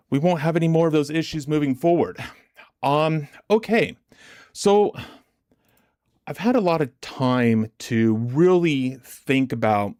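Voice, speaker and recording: A man hosting a livestream as a motivational trading coach, deliberate and emphatic, his chest a little congested from a recent illness. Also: mid-range voice, a little mellow, no room sound, studio quality. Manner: deliberate, emphatic, repetitive rhetorical questions. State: chesty, getting over bronchitis, clearing throat.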